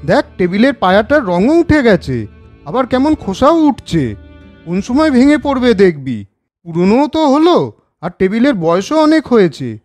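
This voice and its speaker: A woman's voice: on the high side, very animated, normal speed, darkish tone, no room sound, faint music behind. A woman voicing a young schoolboy, a little brother who grumbles and pleads in a children's cartoon. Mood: grumbling, coaxing.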